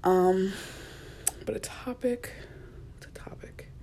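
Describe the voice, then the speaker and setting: A young woman, a college student, rambling in a casual solo podcast, chatty and often dropping to a near whisper, recorded in a quiet university office. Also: medium pitch, fairly even intonation, takes it slowly, creaky. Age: young adult. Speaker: podcast host.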